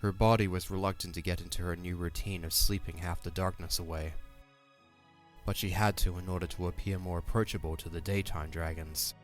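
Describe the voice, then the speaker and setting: A man's voice: deep voice, fairly even intonation, a little fast, soft background music. A man reading scripted narration for an audio drama as its narrator.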